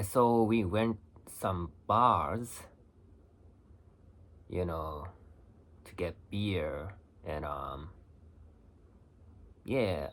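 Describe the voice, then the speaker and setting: A young Japanese man with a Japanese accent, talking casually to camera in halting English with many restarts and fillers. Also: sits low, fairly bright tone. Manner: halting, restarts phrases, lots of "you know". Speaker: vlogger. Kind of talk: casual vlog talk.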